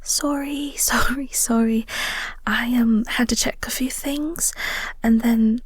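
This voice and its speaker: A woman playing a flirty, awkward vampire co-worker in an intimate audio roleplay, soft and breathy, with a hesitant, polite, coy manner. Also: a little high, fairly even intonation, slow pace, no echo.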